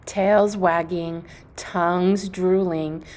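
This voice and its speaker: A woman reading a storybook aloud to children, expressively and deliberately, phrase by phrase. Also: fairly low pitch, fairly even intonation, a little mellow.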